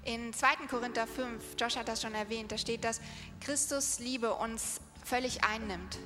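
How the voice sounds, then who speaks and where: A woman interpreting live on stage, speaking in quick restarting bursts. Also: a little high, steady tone, bright, forceful tone. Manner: halting, restarts mid-sentence. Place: large hall. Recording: echoey hall with music behind.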